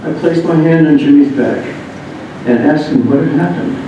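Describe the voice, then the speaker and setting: Man reading aloud in a very echoey room. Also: normal speed. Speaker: reader of a memoir. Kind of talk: reading a written first-person narrative aloud. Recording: muffled, very echoey room, distant mic, rumbling noise.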